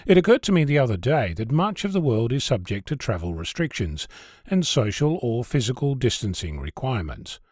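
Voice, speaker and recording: A man's voice: mid-range voice, big pitch swings, a little fast, darkish tone, no echo, studio quality. A man hosting a podcast, reading a scripted essay in a conversational way with wry asides. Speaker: host of an amateur radio podcast. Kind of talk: scripted spoken essay, narration.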